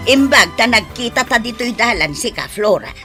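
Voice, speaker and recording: A woman's voice: a little high, animated, moderate pace, over music, lightly acted. A woman in a comedic radio drama playing a suspicious, gossipy village mother, blunt and accusing, with an Ilocano accent.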